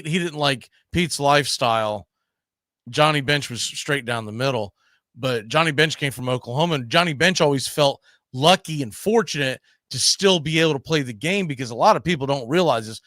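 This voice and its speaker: A man's voice: a little high, pushed and bright, no echo, clean studio sound. A man hosting a casual baseball talk show, conversational and often checking in with tag questions. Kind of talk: casual sports discussion.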